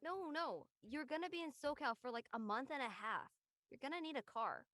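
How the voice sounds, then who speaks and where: A young actress playing an earnest, literal-minded, rule-abiding student in a drama. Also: female voice, high voice, expressive, a little fast, fairly bright tone, no room sound, clean studio sound. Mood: insistent. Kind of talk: acted dialogue in an audio-led play.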